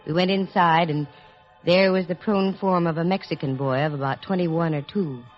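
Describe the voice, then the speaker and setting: An actress playing a sharp, composed female detective who narrates in a brisk, matter-of-fact way, in 1940s radio acting style. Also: on the low side, close to an everyday voice. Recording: dull lo-fi vintage radio recording, faint music.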